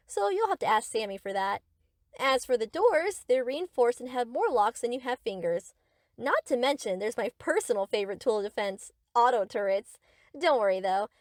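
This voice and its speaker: A high-voiced, swooping young yandere acted out by a woman speaks straight to the listener in an audio roleplay. She is doting and boastful, cheerfully obsessed and possessive, with a close, dry sound. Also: no echo. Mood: smugly reassuring. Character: gushing, possessive yandere best friend, young woman painter. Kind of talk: scripted ASMR roleplay, addressing the listener.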